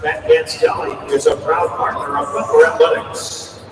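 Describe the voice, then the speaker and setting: A woman's voice: high-pitched, wildly swooping pitch, moderate pace, gravelly. A woman reading scripted sponsor announcements as an arena announcer. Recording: echoey arena PA, far off, crowd noise. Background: crowd in arena.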